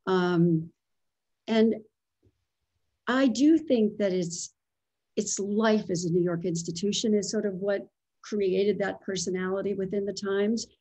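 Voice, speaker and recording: Husky, mellow-voiced woman reflecting aloud. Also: mid-range voice. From small town in Pennsylvania. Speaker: guest, writer and former editor. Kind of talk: conversational video-call interview. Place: home living room with bookshelves. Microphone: video call.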